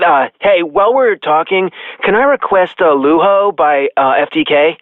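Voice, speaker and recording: A man's voice: fairly high pitch, normal speed, dry recording. A man playing a hesitant, sheepish guy nervous about a first date, calling a radio show in a video game. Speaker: caller. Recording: muffled, narrow call-in line.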